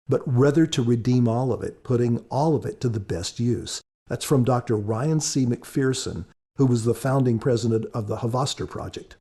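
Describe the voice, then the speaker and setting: A man with a husky voice, an author giving earnest, measured, reflective commentary on a story. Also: medium pitch, warm tone. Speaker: author and podcast guest commentator.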